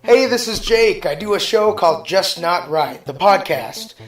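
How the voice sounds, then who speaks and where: A man's voice: sits high, expressive, a little slow. A man hosting a comedy podcast, giving a casual, joking promo. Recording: echoey, with music underneath.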